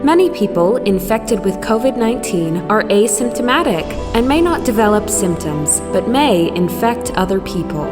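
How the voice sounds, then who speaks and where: A woman giving scripted corporate video narration in a measured, expressive delivery. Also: mid-range voice. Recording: reverberant with music bed.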